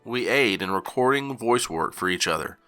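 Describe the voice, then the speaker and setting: A man with a lowish voice reads a scripted announcement, laying out rules in a measured, charter-like way. His tone is bright and forceful, with faint music behind him. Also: animated, smooth. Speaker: channel co-founder.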